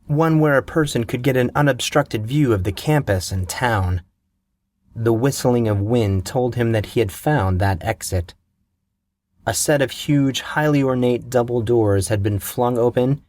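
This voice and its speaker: A man narrating a fantasy novel in a measured, steady storytelling manner, recorded on a close mic. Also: mid-range voice, no room sound.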